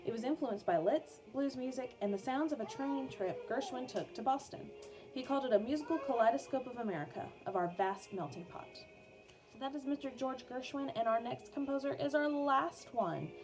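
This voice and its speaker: Woman, slightly high mellow voice, educational read-aloud for kids, measured storytelling, faint music behind. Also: animated, low-quality recording. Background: background music.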